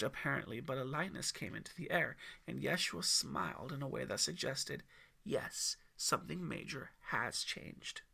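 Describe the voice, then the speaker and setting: A man's voice: on the high side, gravelly, pushed and bright. A man reading scripted, dramatic audiobook narration as a storyteller. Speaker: author and narrator.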